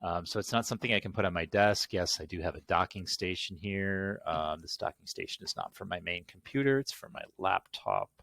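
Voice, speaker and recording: Low-pitched middle-aged man, casual and grumbly. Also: brisk, gravelly, few pauses, a bit bright. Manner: goofy, grumbling, mildly profane. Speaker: unboxing video host. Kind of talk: casual rambling product unboxing talk.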